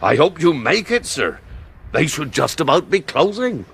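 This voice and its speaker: A man playing a kindly, reassuring police constable in a radio drama, calmly and firmly taking charge. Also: fairly high pitch, normal speed, vocal fry, husky, heavily acted. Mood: affable, authoritative. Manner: authoritative, reassuring. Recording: loud street traffic and horns behind.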